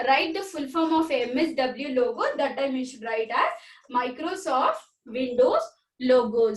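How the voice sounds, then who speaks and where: A woman teacher giving a computer lesson to children. She repeats key points, asks the class questions and has them say words along with her. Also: high-pitched. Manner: repetitive, addresses children directly, asks questions. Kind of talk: recorded school computer lesson.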